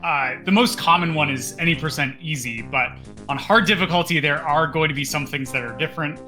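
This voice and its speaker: A man's voice: on the high side, brisk, pushed and bright. A man giving upbeat, casual co-commentary that explains a video game speedrun. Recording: game music behind the voice.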